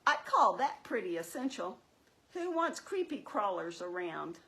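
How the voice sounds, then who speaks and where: A woman's voice: mid-range voice, very animated, unhurried, sharp tone, heavily acted. A woman playing a sensible female puppet in a scripted church puppet show, explaining things with wry, chiding teasing.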